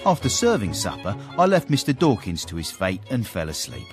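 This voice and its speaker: An actor playing a wry, knowing gentleman's manservant who narrates a period radio drama in a droll manner. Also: on the low side, huge pitch swings, brisk, over music. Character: wry, knowing gentleman's manservant recounting his master's swindles. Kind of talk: in-character storytelling in radio drama.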